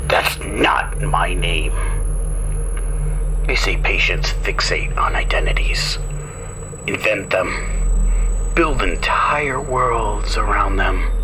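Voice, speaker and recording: A man delivering a hushed, paranoid horror monologue as a radio show narrator, often whispering. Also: fairly low pitch.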